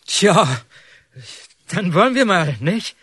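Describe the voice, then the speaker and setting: A man's voice: sits high, lively intonation, slow pace, pushed and bright, slightly rough, exaggerated voice. An actor playing a skeptical, plain-spoken village militiaman, in a radio drama. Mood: doubtful.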